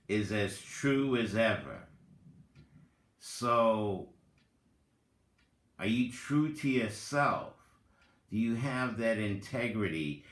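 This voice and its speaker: A man giving a dramatic reading with spoken commentary, deliberate and halting, in short phrases. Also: low-pitched, fairly bright tone. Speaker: reader, content creator. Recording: roomy, echoing voice recording.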